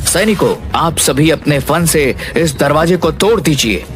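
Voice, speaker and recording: Man playing a regal, commanding snake king, slightly high-pitched. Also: unhurried, close to an everyday voice. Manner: commanding, formal, grandiose. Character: stern regal snake king demanding justice. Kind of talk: Hindi animated fairy tale dialogue. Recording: music behind the voice.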